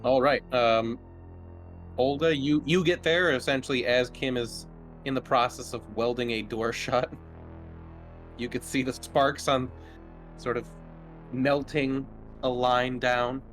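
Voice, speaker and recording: A man's voice: medium pitch, fairly even intonation, a little slow, pauses often, poor audio. A man casually narrating a tabletop game as game master, jokey and given to swearing. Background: soft music.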